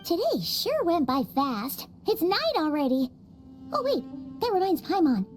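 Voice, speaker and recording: A woman voicing a small, cheerful, childlike fairy companion in a video game, with a squeaky, excitable delivery. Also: unhurried, heavily acted. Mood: curious, chatty. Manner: talks about herself in third person, excitable. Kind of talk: video game cutscene voice acting. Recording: background music behind the voice.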